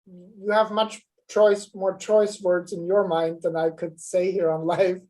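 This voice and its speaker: A woman's voice: fairly low pitch, level tone, measured pace, darkish tone. A woman on a live web show speaking earnestly about fear and shame, often repeating words as she goes.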